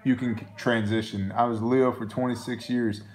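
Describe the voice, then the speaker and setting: A man's voice: medium pitch, flat delivery, moderate pace, a loud crowd. Blunt man chatting on a live stream. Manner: blunt, reads viewer comments aloud. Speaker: co-host of a live show. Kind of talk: casual live stream chat.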